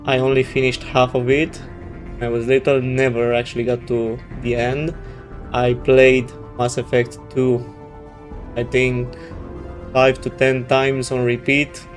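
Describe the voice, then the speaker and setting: Romanian guy w accent, native romanian, casual gaming host, pretty flat tone, searching for words, faint music. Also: medium pitch. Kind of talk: casual let's play commentary.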